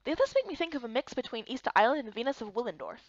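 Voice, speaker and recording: A woman's voice: on the high side, very animated, rapid-fire, dry recording, muffled recording. A woman voicing a curious, kindhearted young heroine in a fantasy game. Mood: awed, curious.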